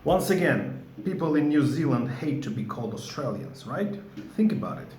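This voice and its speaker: A man's voice: a little high, lively intonation, normal speed, a little mellow, echoey room. A man with a Georgian accent, a record collector making an insistent vlog and often asking "okay?". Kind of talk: to-camera vlog.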